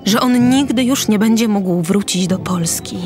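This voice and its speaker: A woman's voice: mid-range voice, a little slow. Woman narrator for a radio drama, mid voice, music playing behind her. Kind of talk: scripted audio drama narration of stage action. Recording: music under the voice.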